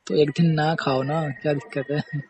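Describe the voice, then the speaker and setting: A man's voice: on the high side, level tone, normal speed, darkish tone, breathy edge, no room sound. A man talking casually in a recorded phone call. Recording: faint background noise on call recording.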